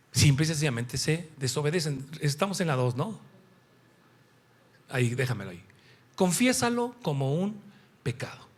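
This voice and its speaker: A middle-aged or older man with a Mexican accent preaching an animated, conversational sermon, emphatic and playful as he acts out bits of dialogue. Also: high-pitched. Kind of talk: animated sermon with anecdotes and Bible quotations.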